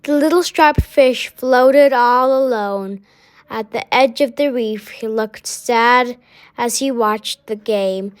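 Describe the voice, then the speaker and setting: A woman reading a children's story aloud in a halting way, sometimes stumbling over words. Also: on the high side, clean tone. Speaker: storybook reader.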